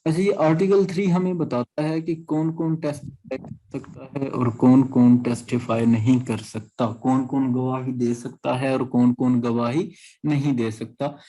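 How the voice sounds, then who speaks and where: A man's voice: medium pitch, fairly even intonation, moderate pace, warm tone, rough recording. A man with a Pakistani accent teaching an exam-prep class, explaining point by point in a didactic way and repeating himself. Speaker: law lecturer. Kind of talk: exam-prep lecture, reading out clauses and explaining them.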